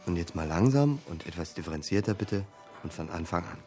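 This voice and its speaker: An actor playing a laconic investigator in a radio drama, asking brief, dry questions in a natural way. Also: male voice, low-pitched, animated, fairly quick, a little mellow, faint music behind. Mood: dry, inquiring.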